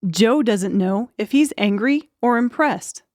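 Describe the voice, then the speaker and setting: A woman's voice: a little high, animated, measured pace, darkish tone, no echo, studio quality. A woman reading dramatic scripted audiobook narration as the storyteller.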